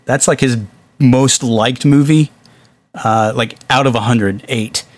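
A man hosting a casual podcast discussion of films, talking with animated exasperation and swearing freely. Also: medium pitch, takes it slowly, no echo. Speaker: podcast host.

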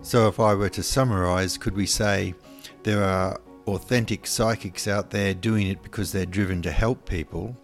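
A man interviewing a guest, asking calm questions and summing up her points. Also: on the low side, normal speed, faint music behind. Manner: calm, summarising prompts.

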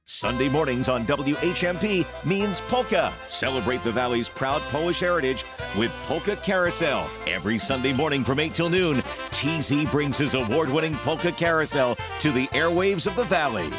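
A man's voice: a little high, animated, moderate pace. A man reading a radio station promo in an upbeat manner. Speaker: station announcer. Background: music bed.

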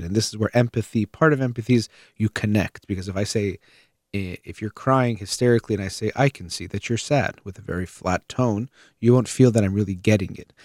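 A man hosting a show, giving a reflective, measured talk on parenting with thoughtful pauses. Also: fairly low pitch, a little mellow, dry recording, studio quality. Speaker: host.

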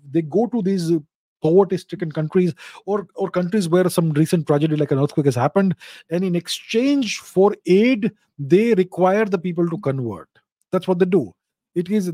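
A man's voice: high-pitched, mellow, no room sound. A man with an Indian accent hosting a question-and-answer show, giving unscripted explanations and repeating key phrases for emphasis.

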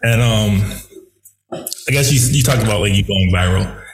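Gravelly-voiced man asking rambling questions. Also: fairly low pitch, normal speed, echoey room, low-quality recording. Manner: rambling, heavy use of "like" and "kinda". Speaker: interviewer. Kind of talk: casual interview questions.

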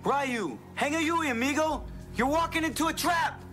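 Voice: high-pitched, animated, normal speed, fairly bright tone. An actor playing a frantic cartoonish character, calling out exclamatory warnings in dramatic dialogue. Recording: music behind the voice.

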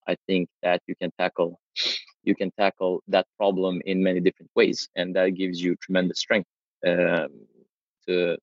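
Very deep, even-toned man, halting delivery. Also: no room sound, dull audio. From Serbia, moved to Sweden at nine. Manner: hesitant, halting phrasing. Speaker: panel guest, designer. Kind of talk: self-introduction on a panel.

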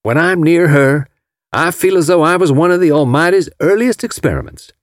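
A man voicing a folksy, lovestruck rich gentleman from Alabama with a drawling Southern American accent, in an audiobook. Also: on the high side, normal speed, no echo, studio quality, pitched above the performer's own voice, close to an everyday voice. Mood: reverent, smitten.

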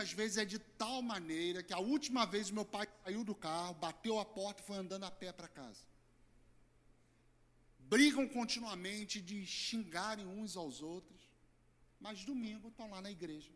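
Hoarse middle-aged Brazilian pastor, fairly high voice, earnest sermon swinging loud to soft. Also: male voice. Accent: Brazilian Portuguese. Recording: church hall reverb.

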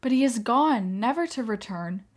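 A woman's voice: on the high side, lively intonation, normal speed. A woman acting in a radio play as an anguished, defiant young Armenian mother, pleading with feeling. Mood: resolute, sorrowful. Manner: impassioned, pleading.

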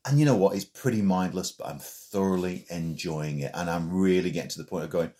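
A man hosting a film podcast, chatting in a relaxed way about what he has been playing. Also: sits low, a little fast. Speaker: podcast host. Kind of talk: casual chat.

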